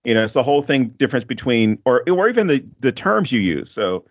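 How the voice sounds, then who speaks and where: Male podcast host, casual and rambling, given to laughs, mid-pitched, slightly muffled audio. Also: sing-song, fairly quick. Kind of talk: casual film discussion.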